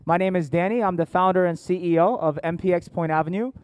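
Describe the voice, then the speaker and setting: An American man presents at a seminar in a crisp, resonant voice with very little room echo. He speaks informally as an educator and host, keeping things jokey and engaging with his audience. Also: high-pitched, quick pace, a little mellow. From born in South Korea, grew up in Atlanta, United States. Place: indoor seminar room with families and online viewers.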